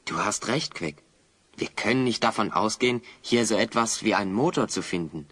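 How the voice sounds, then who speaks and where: A man's voice: lowish voice, moderate pace, fairly bright tone, husky. An actor playing a determined, thoughtful heroic adventurer in an audio drama, explaining things earnestly to his companion. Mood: pensive, frustrated.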